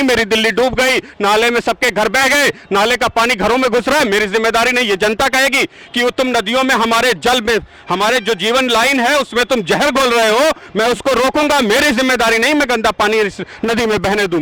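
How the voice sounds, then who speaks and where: A man from Azamgarh, India, speaking as a campaign organizer in a street interview at a busy road junction, arguing forcefully and firing rhetorical, indignant questions. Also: very high voice, low-quality recording.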